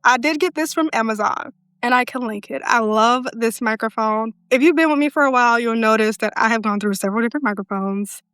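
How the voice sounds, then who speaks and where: A woman with a soft voice, chatting casually to the viewer as she tours her filming setup in her living room, holding a wireless mic. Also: fairly high pitch, fairly quick, clean tone, no echo. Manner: chatty, talks straight to the viewer. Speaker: content creator. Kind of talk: casual home setup tour vlog. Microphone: handheld wireless mic. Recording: dry close mic with faint music.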